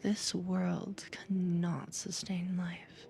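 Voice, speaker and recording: A woman playing a calm, quietly controlling therapist in a slow, breathy, whispered ASMR hypnosis roleplay, soothing yet ominous. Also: on the low side, slight background noise, full character voice. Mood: hushed, eerie.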